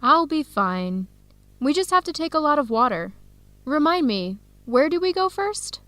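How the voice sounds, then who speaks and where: An actress with an American accent playing an enthusiastic, curious visiting woman scholar in an educational radio drama, with clear, simple phrasing. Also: high-pitched, sing-song, unhurried, a bit bright, no room sound, exaggerated voice. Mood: upbeat, encouraging.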